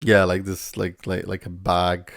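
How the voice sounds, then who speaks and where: Low, gravelly Polish man reacting casually. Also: completely flat delivery, measured pace, dry recording, clean studio sound. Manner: rambling, trailing off, repeating words. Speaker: YouTube reaction host. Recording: music playing behind. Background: game video music.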